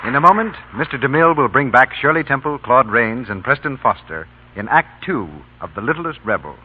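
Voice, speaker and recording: A man's voice: mid-range voice, lively intonation, brisk, noisy background. A man announcing in a chatty, scripted vintage radio commercial style. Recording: narrow, tinny old radio broadcast.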